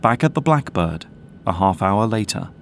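A man's voice: lowish voice, lively intonation, normal speed, slight background noise. A man delivering scripted narration for an audio drama, as its narrator.

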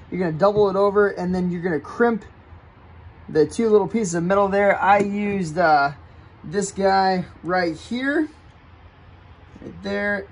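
A man's voice: high-pitched, a little hiss or hum, rough recording. A man in his thirties giving a casual step-by-step how-to demonstration outdoors on a porch, talking while he works with his hands, picked up by a camera mic a few feet away. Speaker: kayak fishing channel host.

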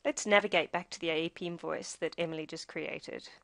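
A woman reading a scripted software tutorial, explaining each step in a measured, instructional way. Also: on the low side, steady tone, gravelly, no echo.

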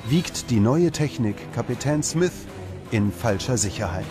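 A man reading scripted documentary narration in a measured, dramatic manner. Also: medium pitch, animated. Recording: music under the voice. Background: background music.

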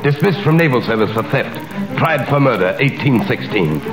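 An actor in a 1938 radio drama playing a brisk investigator who reports facts in clipped phrases, on an old broadcast recording. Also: male voice, mid-range voice, expressive, roomy sound, music in the background, lightly acted, low-quality recording. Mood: dry. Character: brisk male investigator reading a dossier. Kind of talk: 1930s radio acting.

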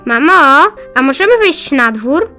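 Woman voicing an eager child, high bright voice, slow polite delivery, children's audio drama. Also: expressive, soft background music, full character voice. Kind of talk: children's Christmas audio play acting.

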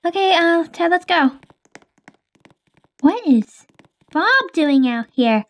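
A woman's voice: very high-pitched, sing-song, slow. A woman playing a character in a casual game roleplay, hesitant and chatty with fillers. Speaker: roleplay player.

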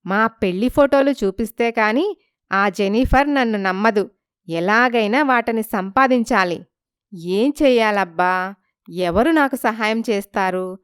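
A woman voicing a wronged young village wife in a story, polite and pleading but quietly determined. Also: mid-range voice, unhurried, clear, resonant tone, no echo, studio quality, lightly acted. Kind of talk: dramatised story acting.

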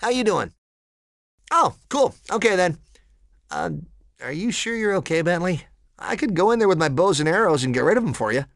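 A man's voice: sits high, sing-song, moderate pace, creaky, long pauses, fairly bright tone, no echo, studio quality, heavily acted. A man voicing a cocky, laid-back young cheetah sidekick in a video game, breezy, slangy and friendly. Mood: casual, friendly. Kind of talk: animated video game voice acting.

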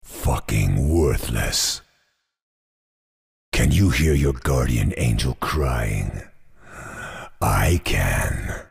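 An actor playing a hateful, nihilistic killer with a raspy growl and cold, bitter, drawn-out menace, over music. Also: male voice, extremely low pitch, animated, full character voice. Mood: vengeful, menacing.

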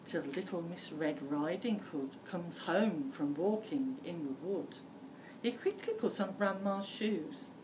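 A middle-aged woman reads a children's story with drama and very varied pitch. Her pace is slow and deliberate. She is recorded indoors at some distance, with faint noise. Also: lively intonation. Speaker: primary school teacher. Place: school library indoors.

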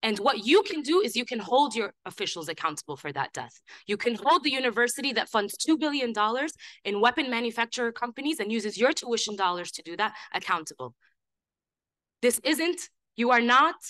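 A young woman, a student activist, speaking loudly and insistently to a class without a script, often restarting her sentences. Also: fairly high pitch, poor audio. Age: young adult. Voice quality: loud voice. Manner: impassioned, insistent, with frequent restarts. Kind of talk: impromptu talk to a class. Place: lecture hall.

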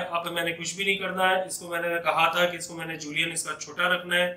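A man with a high, bright voice walks through a recipe step by step, patiently explaining each cut. He talks while chopping in a home kitchen, and the room sounds echoey. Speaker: home cooking channel host. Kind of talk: step-by-step cooking demonstration.

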